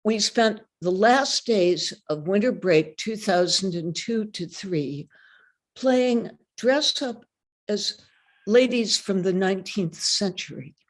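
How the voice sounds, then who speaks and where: A woman giving a dramatic reading of a first-person literary story in a measured, deliberate way. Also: mid-range voice, animated. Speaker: reader of a short story.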